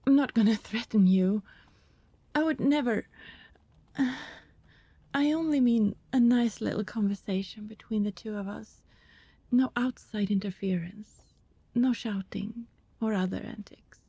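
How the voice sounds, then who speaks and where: A woman playing an anxious, apologetic vampire neighbor in an ASMR roleplay, soft and hesitant, often whispering, with politely formal wording. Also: mid-range voice, a little slow, vocal fry, slightly rough, no echo. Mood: placating.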